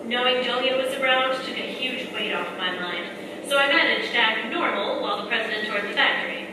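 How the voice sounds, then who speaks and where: A woman's voice: a little high, brisk, close to an everyday voice. An actress playing an earnest wartime heroine who narrates her own adventure in a dramatic, scripted radio play style. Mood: composed, recounting. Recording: echoey stage, distant mic, faint noise.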